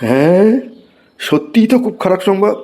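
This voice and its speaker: A man voicing an excitable, exasperated schoolteacher who scolds and exclaims in a comic cartoon. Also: sits high, normal speed, a little hiss or hum. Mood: excited. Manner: scolding, exclamatory, mock-praising. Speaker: cartoon voice actor. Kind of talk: comic Bengali cartoon dialogue.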